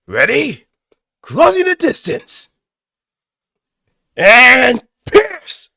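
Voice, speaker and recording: A man's voice: very high-pitched, wildly swooping pitch, gravelly, husky, no echo, clean studio sound, pitched above the performer's own voice, heavily acted. A man voicing a politely menacing robot pilot from an anime game, giving warnings and attack calls. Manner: drawn-out warnings, then yelled attacks. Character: polite but menacing male spike-wielding mecha pilot.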